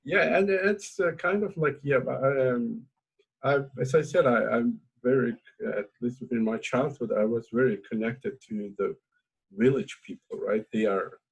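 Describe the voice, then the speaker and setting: Hesitant husky man, non-native English. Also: medium pitch, a little slow, low-quality recording. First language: Azeri. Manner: hesitant, many fillers and restarts. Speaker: academic host. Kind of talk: informal academic discussion.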